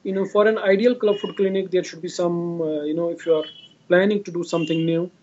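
High-voiced man, Indian accent, explanatory interview answers, repetitive phrasing, dull video-call audio. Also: steady tone, a little fast, darkish tone. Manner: repetitive, often says "you know". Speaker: clinician being interviewed. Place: indoor room, video call. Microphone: video call device at arm's length.

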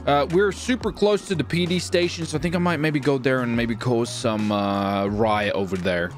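A man hosting a gaming video with excitable, theatrical commentary and playful roleplay, often repeating his phrases. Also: medium pitch, normal speed, soft background music.

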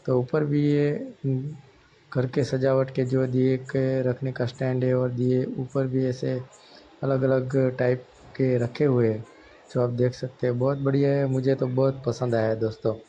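Male vlogger, mid-pitched and flat, hesitant casual narration on lo-fi audio. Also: a little slow. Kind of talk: casual shopping vlog narration. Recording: dull lo-fi audio with background noise.

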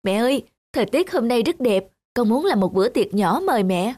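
A woman's voice: a little high, lively intonation, fairly quick, darkish tone, slightly rough, no room sound. A woman voicing a caring adult daughter in a cartoon, earnest and emotional in the way she talks. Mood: coaxing.

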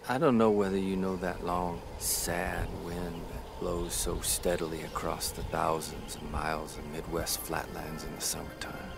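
A somber American storyteller speaks in a low, creaky voice. He is wistful and melancholy, as in a radio drama, under loud music. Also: flat delivery, measured pace, hardly any pauses. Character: somber, wistful American man remembering the prairie.

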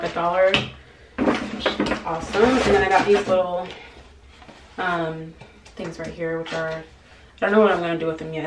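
A young American woman chatting casually for a vlog, thinking aloud in an informal way as she goes. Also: on the low side. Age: young adult. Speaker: lifestyle vlogger. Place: small tiled bathroom, indoors. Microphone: camera mic at arm's length or propped nearby. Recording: echoey bathroom, clear household clatter noise.

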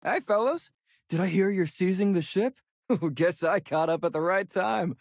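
A young male helmsman in a pirate game. His voice sits a little high. He talks with easygoing, confident swagger. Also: normal speed, vocal fry, dry recording, studio quality. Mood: breezy. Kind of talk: video game voice acting.

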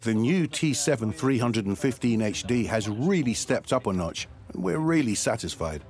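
German farmer, 30s, measured testimonial, expressive low voice. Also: male voice, soft background music. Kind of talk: customer testimonial interview. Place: tractor showroom.

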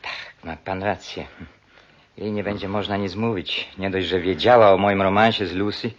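A man acting in a radio drama as a coldly calculating, philandering doctor who plots murder in a deliberate, matter-of-fact way. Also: low voice, steady tone, dull audio, close to an everyday voice. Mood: cold, resolved.

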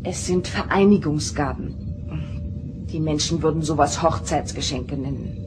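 An actress as an earnest, troubled female starship counselor in a radio play. Her voice sits a little low and she speaks as if confiding. Clear music runs underneath. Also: moderate pace, natural voice. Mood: resigned. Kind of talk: German radio play acting.

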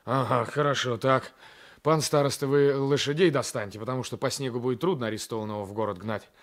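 A man's voice: mid-range voice, steady tone, close to an everyday voice. Man acting an officious investigating gendarme. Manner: brisk authority, repeating himself. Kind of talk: 1980s radio drama acting.

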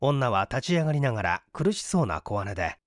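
男性の小説朗読、乾いた音像. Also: male voice, mid-range voice, sing-song, a little fast, dry recording, studio quality. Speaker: story narrator. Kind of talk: scripted literary narration.

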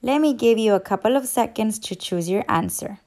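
A woman's voice: fairly high pitch, animated, normal speed, a little mellow. A woman teacher reading and quizzing for young children in an instructional, encouraging manner. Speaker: teacher and children's story reader. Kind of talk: children's read-aloud and quiz.

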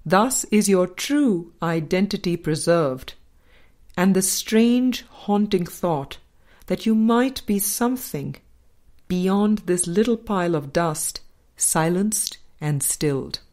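A woman narrating a spiritual book aloud in a measured, deliberate way, pausing between phrases. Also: fairly low pitch, expressive, darkish tone. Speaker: audiobook narrator.

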